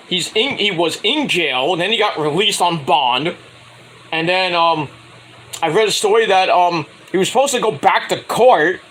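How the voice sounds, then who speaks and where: A man talks through a wrestling show recap on his own, rambling, correcting himself and tossing in sarcastic asides. His voice is high and very animated, with a bright edge. He is coming down with a cold, and a faint hiss of noise sits behind him. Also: low-quality recording. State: getting a cold, voice going. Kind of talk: casual solo review recap.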